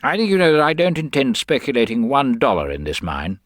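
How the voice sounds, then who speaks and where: A man's voice: medium pitch, wildly swooping pitch, fairly quick, no room sound, studio quality, pitched above the performer's own voice. An actor playing a stingy, self-important rich young businessman with clipped, pompous upper-class talk.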